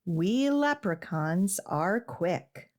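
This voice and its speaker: Mischievous storybook leprechaun, read by a woman: boastful, teasing rhymes, very measured pace, lively pitch. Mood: boastful, mocking. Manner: teasing, rhyming delivery.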